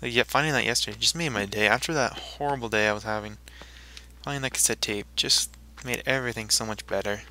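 A man's voice: lowish voice, fast talker, sharp tone, slight background noise. A man hosting a gaming video, rambling casually and talking to himself as he plays. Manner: rambling, talks to himself while playing. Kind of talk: casual let's play commentary.

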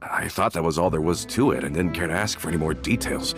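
An actor playing an earnest, loyal member of a gang in a video game, speaking in a questioning, sincere manner. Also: male voice, low-pitched, fast, music in the background. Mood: curious, friendly. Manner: earnest, questioning, hesitant when shocked. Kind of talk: video game character dialogue.

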